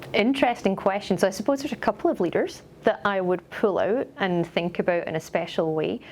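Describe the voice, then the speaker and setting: A woman's voice: lowish voice, darkish tone, slight background noise. A middle-aged woman with a Scottish accent, an upbeat and articulate company executive answering questions in a corporate interview.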